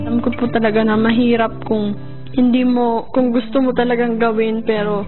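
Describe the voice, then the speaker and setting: A young woman answers earnestly in a flat, level tone, a little higher than average. The sound is tinny and phone-like, with music behind her. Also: fairly quick. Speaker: pageant contestant.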